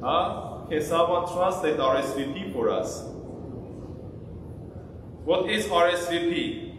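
A priest with a high, unhurried voice gives a sermon in a small church and keeps asking the congregation questions. He sounds heavily echoed and distant, picked up by a camera across the room. A faint hum of the room sits behind him. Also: animated. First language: Armenian. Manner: interactive, asks the congregation questions. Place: small church sanctuary, indoors. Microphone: far camera.

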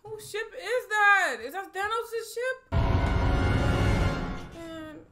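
A woman's voice: very high-pitched, drawn-out words. A young woman giving casual, slangy and exclamatory movie reaction commentary, swearing freely. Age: young adult. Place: small bedroom studio. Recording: loud movie soundtrack behind. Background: film audio and music.

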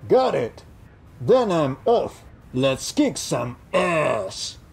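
Eager young mechanic. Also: male voice, fairly high pitch, sing-song, drawn-out words, gravelly, slightly rough, heavily acted. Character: eager young male mechanic in a gas mask.

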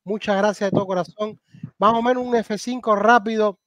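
High-voiced Cuban host, lively. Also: male voice, brisk, lo-fi sound. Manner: animated, thanks viewers, calls guest gato and hermano. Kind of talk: casual live stream chat.

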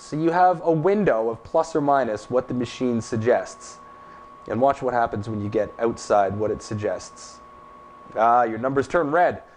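Man, thirties, relaxed shop talk, mid pitch, workshop, faint hum. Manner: relaxed, plain-spoken shop talk with fillers. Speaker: welding demonstrator. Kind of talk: casual product demonstration. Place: welding workshop, indoors.

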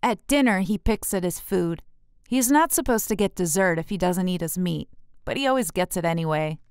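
An eight-year-old girl acted out by a woman complains about her sibling in a storybook. She is indignant, jealous and bossy. The voice is clear and smooth, with a dry, close sound. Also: fairly low pitch, animated, normal speed, darkish tone, dry recording. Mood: aggrieved, complaining.

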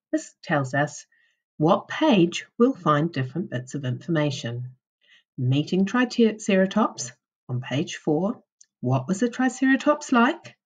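A woman with a low, husky voice reads aloud as a primary school teacher would to young children. Her pace is unhurried and her intonation rises and falls in a very animated way, with careful, instructional phrasing. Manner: deliberate, instructional, questioning delivery for young children. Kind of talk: reading a children's non-fiction book aloud.

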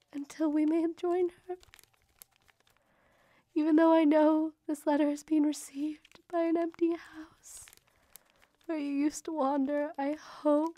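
A woman's voice: sits high, dark tone, no room sound. An actress playing a devoted young Victorian mother, reading letters to her brother in an earnest, emotive way for a radio drama. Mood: grief-stricken.

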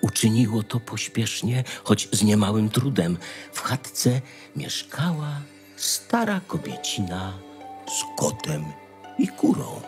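A man narrating a scripted fairy tale in a measured, expressive storytelling manner. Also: fairly low pitch, faint music behind.

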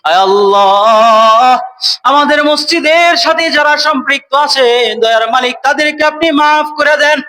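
High-pitched Bangladeshi man pleading in prayer. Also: sharp tone. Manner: pleading, tearful, drawn-out wailing calls to God. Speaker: imam leading congregational prayer. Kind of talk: emotional supplication prayer, half-chanted.

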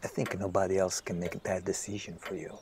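An actor playing a self-absorbed, softly charming writer in an audio drama, measured and musing. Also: male voice, deep voice, little pitch movement, background noise, close to an everyday voice. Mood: tender, charmed.

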